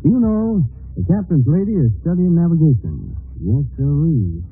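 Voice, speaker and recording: An actor playing a folksy, admiring sailor in a radio drama, husky and colloquial. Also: male voice, a little high, sing-song, soft background music, full character voice. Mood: folksy admiration. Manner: folksy, colloquial drawn-out phrasing. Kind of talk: old-time radio acting. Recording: tinny old radio recording.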